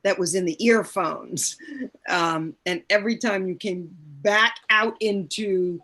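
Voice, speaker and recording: A woman speaking as an actor reflecting in casual discussion, deliberate, pausing to search for her words. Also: mid-range voice, fairly even intonation, fairly bright tone.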